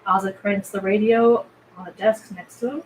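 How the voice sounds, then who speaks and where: A woman's voice: mid-range voice, steady tone, normal speed, poor audio. A woman narrator reading screenplay stage directions aloud at a table read. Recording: faint background noise.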